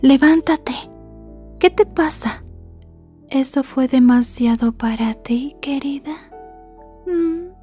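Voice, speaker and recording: A creepy sweet yandere schoolgirl, asmr roleplay, high soft mellow voice, murmuring slow threats. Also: faint music behind. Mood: cold menace. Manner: slow, breathy murmurs, gloating, mock-polite menace. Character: sweetly menacing, obsessive yandere schoolgirl in love.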